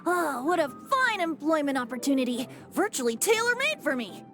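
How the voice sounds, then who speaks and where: A woman's voice: high-pitched, very animated, normal speed. A woman voicing a carefree, impish young male bard in a video game, breezy and playful. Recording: music under the dialogue.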